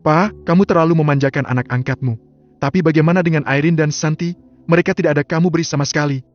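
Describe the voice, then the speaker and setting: Man doing a scornful wife, sharp accusing questions, raised pitch, soft music behind. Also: fairly even intonation, fast talker, lo-fi sound, lightly acted. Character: scornful businesswoman wife, fed up.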